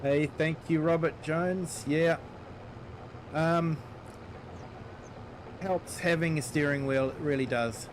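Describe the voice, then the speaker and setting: A middle-aged New Zealand man with a fairly high voice talks slowly and easily as he streams a game, greeting viewers one by one. Clear game and engine noise runs under his voice. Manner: relaxed, chatty, greets viewers by name. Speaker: gaming streamer. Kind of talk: casual live-stream chat while playing.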